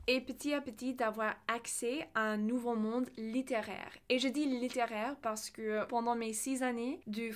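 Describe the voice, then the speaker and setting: A young woman, a native English speaker with a learner's accent in French, telling a personal story emphatically as a video creator. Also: fairly high pitch. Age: young adult.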